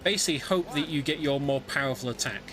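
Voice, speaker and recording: A man's voice: on the high side, quick pace. A man giving live, explanatory commentary on a video game as he plays it, somewhat hesitant as he goes. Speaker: speedrunner commentating own play. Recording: game music behind voice. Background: video game music.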